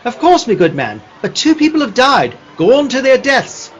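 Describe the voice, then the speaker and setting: A man playing a pompous, easily astonished old-fashioned gentleman sidekick in a comic radio play, with florid, theatrical delivery. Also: very high-pitched, wildly swooping pitch, moderate pace, highs cut off, soft background music, heavily acted. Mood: theatrical, grave.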